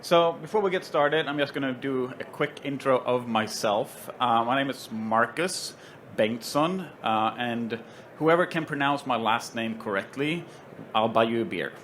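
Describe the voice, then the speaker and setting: A man with a Swedish accent introduces a trade show panel in an easy, joking manner. He often pauses on ums and uhs. A faint hum of the show floor sits behind his voice. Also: medium pitch, a bit bright. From Sweden. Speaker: panel moderator. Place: trade show stage with stands around.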